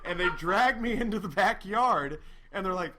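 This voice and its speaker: A man's voice: sits high, expressive, moderate pace, background noise. A young man, drunk, rambling through a profane, self-mocking story among friends around an outdoor fire. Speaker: storyteller host. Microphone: laptop mic.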